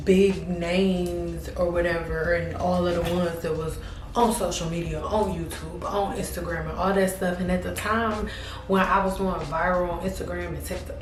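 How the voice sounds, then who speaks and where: A woman's voice: fairly low pitch, echoey room, far from the mic, low-quality recording. A woman in her thirties talking casually to her camera, chatty and rambling with lots of fillers. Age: 30s. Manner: chatty, repeats herself, frequent fillers. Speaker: vlogger.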